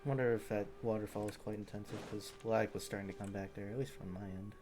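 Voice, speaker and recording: A man's voice: fairly low pitch, little pitch movement, quick pace. A man giving casual commentary while livestreaming a video game. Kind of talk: casual play-along commentary. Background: game music, keyboard sounds.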